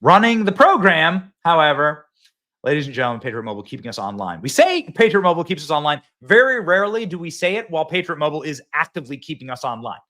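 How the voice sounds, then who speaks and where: A man hosting a live political talk show from a phone, excitable and rambling, often repeating himself. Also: on the high side, fast talker. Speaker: host.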